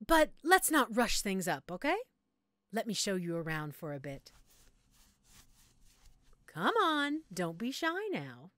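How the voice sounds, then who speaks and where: A gleeful female mad scientist, acted by a woman, speaks straight to her captive in an audio roleplay. Her medium-pitched voice is very lively and swings widely as she teases with condescending sweetness. Clearly audible background noise sits behind her. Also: slow pace, pauses often. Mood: playfully menacing. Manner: sweetly condescending, teasing, punctuated by cackling. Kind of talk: audio roleplay acting addressed to the listener.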